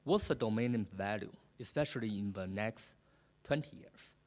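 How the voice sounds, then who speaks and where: A man's voice: on the low side, moderate pace, a little mellow. Man, panelist, earnest, heard with long hall reverb. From China. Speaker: panelist, registry operator. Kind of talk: panel discussion.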